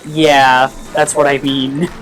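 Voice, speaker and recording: A young man from the United States chatting while playing a game together, speaking in a halting way full of fillers. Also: mid-range voice, flat delivery, a bit bright, soft background music, low-quality recording. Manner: halting, filler-heavy speech. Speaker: co-player. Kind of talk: casual chat over gameplay.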